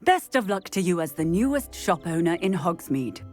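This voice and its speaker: A woman playing a composed female wizarding police officer in a video game, measured and formal in manner. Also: on the low side, sing-song, a little mellow, soft background music. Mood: firm, dry. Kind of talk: video game character acting.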